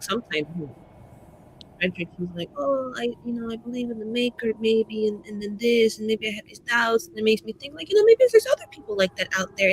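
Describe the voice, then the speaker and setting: A woman's voice: fairly high pitch, darkish tone, a little hiss or hum, poor audio. A woman roleplaying casually as a tabletop game player, venting in an informal, sweary way.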